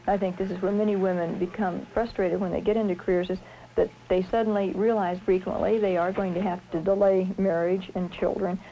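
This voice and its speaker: A woman surgeon speaking in a measured, articulate way in a reflective documentary interview. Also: medium pitch, gravelly, dark tone, poor audio. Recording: 1970s film sound with faint noise.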